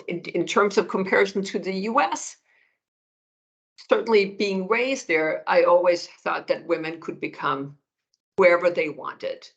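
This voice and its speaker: Brief: middle-aged woman, economist guest, measured and gravelly, heard over a muffled video call. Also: lowish voice. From Denmark. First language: Danish. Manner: measured, restarts phrases while thinking. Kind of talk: interview answers, explanatory.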